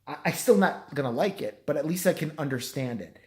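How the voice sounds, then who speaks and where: A tired man with a hoarse, nearly gone voice, rambling and swearing through a self-deprecating late-night vlog. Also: fairly high pitch, expressive, fairly quick, darkish tone. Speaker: vlogger.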